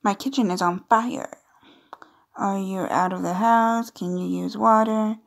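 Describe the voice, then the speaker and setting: A woman's voice: mid-range voice, fairly even intonation, measured pace, creaky. A woman doing casual, playful gameplay commentary, reading on-screen dialogue aloud with chatty, amused asides. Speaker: gaming video creator.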